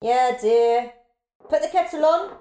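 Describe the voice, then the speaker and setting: Casting: woman as patient, dryly wry mother of a big family, fairly high voice, slow delivery, naturalistic audio play. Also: mellow, slight background noise. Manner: wry, dry, unhurried. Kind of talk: naturalistic audio drama dialogue.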